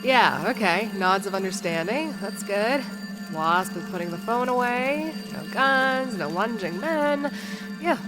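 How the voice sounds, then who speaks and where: A woman's voice: fairly high pitch, lively intonation, unhurried, over music, low-quality recording. A woman narrating a comedic audio drama in the first person, rambling with lots of fillers and making wry asides to the listeners. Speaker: narrator and lead character.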